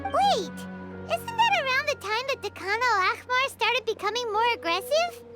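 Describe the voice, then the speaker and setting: Game sidekick: little caring fairy, childlike squeak pitched sky-high, excitable, over clearly audible music. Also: female voice, normal speed. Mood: startled. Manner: animated, expressive. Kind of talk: video game voice acting, cartoonish. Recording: prominent background music under the voice.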